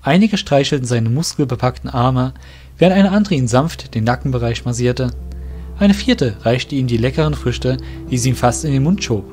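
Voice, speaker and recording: A man narrating a fan-made audio drama in a calm, even storytelling manner. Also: mid-range voice, moderate pace. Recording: soft background music.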